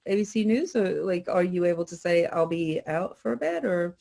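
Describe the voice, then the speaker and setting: A woman's voice: lowish voice, expressive, moderate pace, gravelly, mellow, no echo. A woman hosting, asking questions and summing up in a casual interview style. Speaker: host and voice coach.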